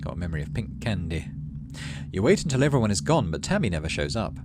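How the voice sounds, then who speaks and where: A man's voice: deep voice, very animated, fast, very noisy. A man hosting a game playthrough, reading story text aloud expressively and adding casual asides. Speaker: gaming channel host.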